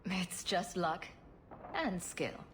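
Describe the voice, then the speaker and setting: Sarcastic, low-pitched rogue heroine. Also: female voice, lively intonation, very slow, fairly bright tone, a little hiss or hum, exaggerated voice. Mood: wry, curious. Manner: flippant, dry wit. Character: sarcastic, wisecracking female rogue adventurer. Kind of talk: video game dialogue acting.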